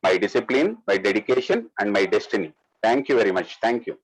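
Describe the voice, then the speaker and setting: A man's voice: lowish voice, fairly quick, husky, low-quality recording. A man with an Indian accent reciting free verse poetry in a solemn, declamatory way. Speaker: poet.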